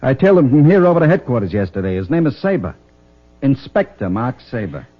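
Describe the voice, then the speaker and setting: Curt, raspy American hoodlum. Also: male voice, medium pitch, very animated, fairly quick. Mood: hard, urgent. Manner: curt, slangy, bossy. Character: pushy hoodlum boyfriend, curt and cynical. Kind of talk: mid-century radio crime drama acting. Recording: muffled, dull old radio recording.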